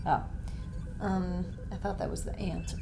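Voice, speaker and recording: A woman's voice: on the low side, level tone, measured pace, gravelly. A woman playing a tabletop game, asking questions in a hesitant way. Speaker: player. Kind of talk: tabletop play discussion. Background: background music.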